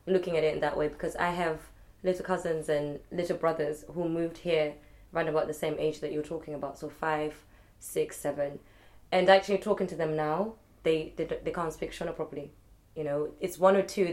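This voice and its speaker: Low-voiced woman, earnest and reassuring. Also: a little fast, darkish tone. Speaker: podcast co-host. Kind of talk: casual group chat.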